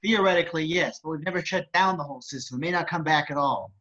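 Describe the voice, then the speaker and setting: Quick-talking frazzled technician, read by a man, dull sound. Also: on the high side, bright, forceful tone. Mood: matter-of-fact. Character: frazzled, stubborn male computer technician, exasperated. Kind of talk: amateur screenplay table read.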